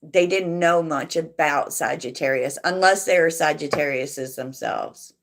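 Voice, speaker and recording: A woman hosting a tarot livestream, chatting softly and reflectively with viewers and laughing often. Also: low voice, a little slow, gravelly. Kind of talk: casual livestream chat answering viewers.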